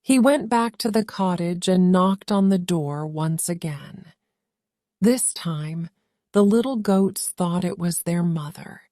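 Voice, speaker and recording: Slow, mellow woman storyteller. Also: mid-range voice, no echo, studio quality. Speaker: narrator. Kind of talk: scripted story narration for English learners.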